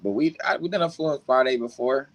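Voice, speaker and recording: A man's voice: fairly quick, a bit bright, dry recording. Blunt man arguing boxing opinions. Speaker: co-host guest. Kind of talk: casual boxing debate. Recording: lower-quality dry mic.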